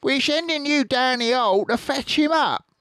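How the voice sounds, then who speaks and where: A man reading a storybook aloud and voicing a cruel, cunning male farmer with loud, sneering menace. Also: extremely high pitch, moderate pace, clear, resonant tone, no echo, voice pushed up. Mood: menacing.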